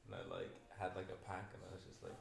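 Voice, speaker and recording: Deep, flat-voiced young host in a roomy space. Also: male voice, quick pace, vocal fry. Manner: laid-back, rambling, heavy use of "like". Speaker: podcast host. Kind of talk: casual podcast chat. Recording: roomy room with faint noise.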